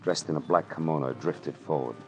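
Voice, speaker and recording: A man's voice: rumbling low, brisk, a little mellow, highs cut off. An American man playing a wry hard-boiled private detective in an old-time radio drama, with clipped, slangy delivery. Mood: wry, narrating. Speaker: narrator and lead character. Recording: old radio recording with music.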